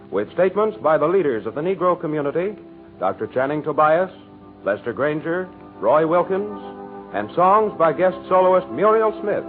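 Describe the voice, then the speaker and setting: An American man working as a formal, polished 1940s radio announcer, reading a scripted program script. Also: a little high. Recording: tinny, narrow-band 1940s radio broadcast. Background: music.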